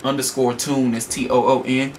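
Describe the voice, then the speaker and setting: A man's voice: medium pitch, flat delivery, moderate pace, a little mellow, far from the mic. An American man, a guest hip-hop artist, talking in a slangy, informal, rambling way in a casual radio interview. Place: radio studio. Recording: noisy studio, off the mic.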